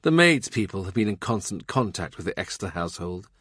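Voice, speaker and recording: A man's voice: sits low, big pitch swings, quick pace, a bit bright, breathy edge, dry recording, clean studio sound. A man reading a historical novel as scripted literary audiobook narration.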